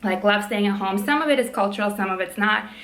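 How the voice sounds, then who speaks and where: A young woman with a naturally loud voice, chatting casually at home while doing her nails, frank and fond of swearing, full of likes and ums, holding her voice down. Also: mid-range voice, fast talker, reverberant. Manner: frank, swears freely, many "like" and "um" fillers; speaking softly to keep quiet. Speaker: vlogger, PhD student. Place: room at home.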